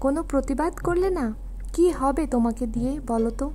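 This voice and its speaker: Woman playing a high-voiced clever village wife. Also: quick pace, warm tone, faint music behind, close to an everyday voice. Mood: scolding, determined. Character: clever, determined young village wife, outwardly sweet. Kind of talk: cartoon folk tale acting.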